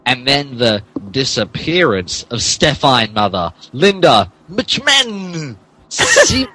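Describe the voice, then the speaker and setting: A man's voice: medium pitch, big pitch swings, slow, pushed and bright, rough recording. A man narrating a comic dramatic reading in a mock-serious way, often stumbling over his words. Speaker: podcast host reading as narrator.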